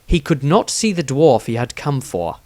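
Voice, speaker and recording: A man narrates a fantasy tale in a mid-pitched, very animated voice. His delivery is dramatic, with deliberate pauses, and the recording is dry. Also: dry recording. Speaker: author reading own story. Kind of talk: scripted fantasy story narration.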